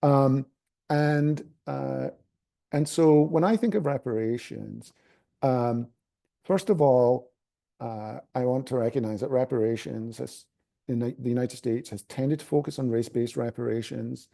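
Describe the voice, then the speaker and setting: Scottish guy on a panel, gravelly low voice, dark tone, lots of ums and restarts. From Scotland. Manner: halting, many fillers and restarts. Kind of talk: academic panel talk.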